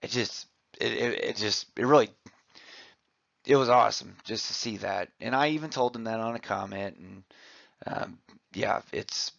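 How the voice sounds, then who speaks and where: A man's voice: fairly low pitch, normal speed, gravelly, a bit bright, no room sound. A man, a physical education teacher, giving an informal, conversational presentation with enthusiasm, recorded slightly muffled. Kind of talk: informal conference presentation over slides. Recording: slightly muffled, dull audio.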